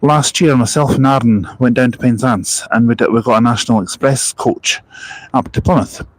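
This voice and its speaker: A man's voice: mid-range voice, moderate pace, no room sound, rough recording. A young man chatting casually on a live stream at a bus park and ride, rambling between stories, spotting passing buses and reading out viewer comments.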